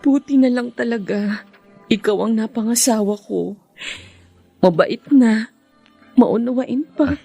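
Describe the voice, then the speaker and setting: A woman's voice: fairly high pitch, unhurried, gravelly, pauses often, mellow, breathy edge, no echo, soft background music, heavily acted. An actress playing an earnest young married daughter devoted to her family, in a heartfelt Filipino radio drama with a Filipino accent. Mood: grateful.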